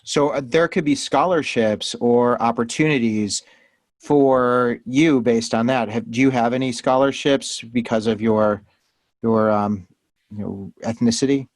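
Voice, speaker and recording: A middle-aged man hosts a panel interview, asking curious, encouraging questions. His voice sits in a middle pitch, recorded close and dry. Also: fairly even intonation, unhurried, dry recording. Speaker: host.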